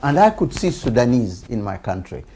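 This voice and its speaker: A man with a Ugandan accent hosting a political interview, speaking conversationally and haltingly, repeating words as he makes his point. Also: mid-range voice, sing-song, mellow, slight background noise. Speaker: TV programme host.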